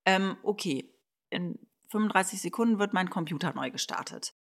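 A woman's voice: medium pitch, animated, moderate pace. A woman speaking as a theatre director, informally explaining a play to her team. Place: home office video call.

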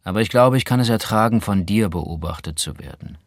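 A man's voice: fairly low pitch, lively intonation, a little fast, no room sound, clean studio sound. A man narrating a literary audiobook, including its dialogue.

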